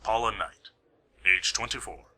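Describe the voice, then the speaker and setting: A man playing a brisk, dry police officer in an amateur radio play, clipped and procedural. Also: on the low side, steady tone, sharp tone, soft background music, exaggerated voice. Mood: matter-of-fact.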